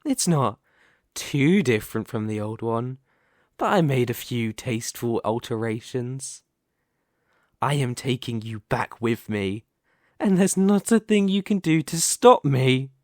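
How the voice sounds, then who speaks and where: A man's voice: medium pitch, animated, a little mellow, dry recording, clean studio sound, close to an everyday voice. A man playing a reborn demon king in a scripted ASMR roleplay, smugly menacing, possessive and seductive, with a theatrical, condescending delivery. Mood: menacing, triumphant. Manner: theatrical, deliberate, condescending, grandiose.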